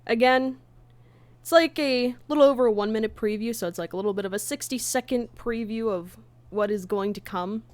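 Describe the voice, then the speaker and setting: A woman's voice: a little high, fairly quick, a little mellow, no echo. A woman chatting casually on a livestream as its host and creator. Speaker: streamer, fan comic dub creator.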